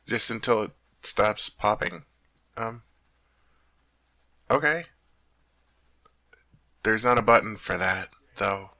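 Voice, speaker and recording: A man acts out a sweet, naive teddy bear who has become a tall man, for an ASMR roleplay. His voice is very bright and crisp-edged with a gravelly creak, recorded close and dry. He talks in an innocent, earnest, chatty way, easily puzzled by human things. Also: fairly low pitch, slow, long pauses, dry recording, exaggerated voice. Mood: puzzled, muttering. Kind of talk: ASMR roleplay addressed to the listener.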